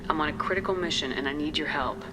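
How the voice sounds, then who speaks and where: Woman acting a brisk, resolute military commander. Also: low voice, background noise, close to an everyday voice. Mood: firm, businesslike. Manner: direct, businesslike, assured. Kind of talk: video game voice acting.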